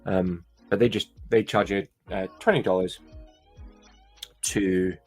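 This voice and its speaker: A man with a low voice and a light Scottish accent, chatting casually to his viewers. He rambles, hesitates and restarts his sentences a lot. He has the easygoing tone of a hobby vlogger talking things over. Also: steady tone, takes it slowly, darkish tone, slightly rough, faint music behind. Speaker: toy and claw machine vlogger.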